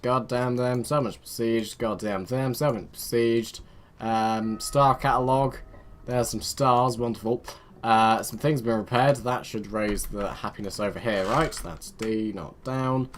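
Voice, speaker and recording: A man's voice: medium pitch, measured pace, a little hiss or hum. Rambling man muttering through a strategy game. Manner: self-mocking, chatty. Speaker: let's play gamer. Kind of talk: casual gameplay commentary.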